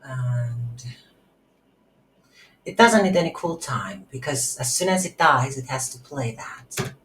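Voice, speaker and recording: A woman giving an unscripted screen-recorded coding tutorial, thinking aloud in halting short phrases. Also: a bass voice, a bit bright. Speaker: tutorial instructor. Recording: roomy echo, audible background noise.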